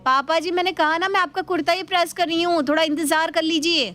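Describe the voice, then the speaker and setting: A woman voicing a cheeky young daughter-in-law in an animated moral story, explaining things with mock-polite innocence. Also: very high voice, fast. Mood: mock-innocent.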